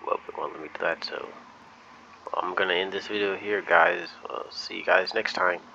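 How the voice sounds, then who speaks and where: A young man with a low, creaky voice comments casually on a video game. His pitch moves around a lot as he makes informal, off-the-cuff remarks. It is a noisy home recording. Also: very animated, moderate pace, dull audio. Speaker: gaming YouTuber.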